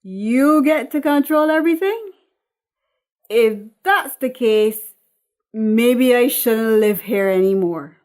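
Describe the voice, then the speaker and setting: A woman's voice: fairly high pitch, animated, takes it slowly, a little mellow. A woman voicing a defiant, fed-up thirty-year-old woman, blunt and sarcastic, in a dramatic script reading.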